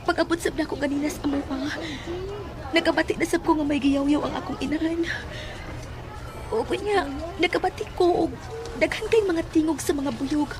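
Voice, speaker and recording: Very high-voiced, frightened young woman played by an actress. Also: moderate pace, lightly acted. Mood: anxious, fearful. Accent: Cebuano. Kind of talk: Cebuano radio horror drama acting.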